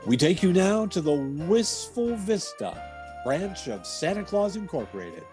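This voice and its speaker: A male announcer in the old-time radio manner. His fairly high voice rises and falls with showy animation, and music plays behind him.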